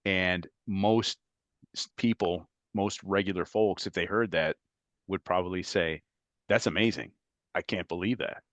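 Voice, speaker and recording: Deep-voiced man recalling stories, dry sound. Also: unhurried, a bit bright, no room sound. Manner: reflective, chuckles while telling stories. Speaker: guest, former FBI agent. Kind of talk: conversational interview.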